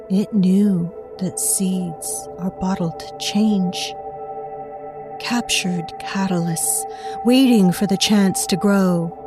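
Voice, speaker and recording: A woman playing a mysterious narrator, telling a dark fairy tale in a measured, dramatic way for an audio drama. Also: lowish voice. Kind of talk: scripted dark storytelling narration. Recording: music behind the voice.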